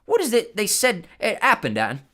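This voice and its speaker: A reader voicing Stan, a chatty young male bus conductor who gossips loudly in working-class London speech. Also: mid-range voice, wildly swooping pitch, normal speed, pitched above the performer's own voice, exaggerated voice. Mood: excited gossip.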